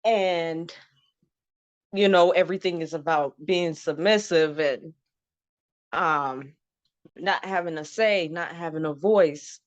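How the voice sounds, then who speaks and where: Slow, low-voiced woman from Zimbabwe. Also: no room sound. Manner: frank, laughs often, many ums and you-knows. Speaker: interview guest. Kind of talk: candid personal story, casual. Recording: dull lo-fi dry sound.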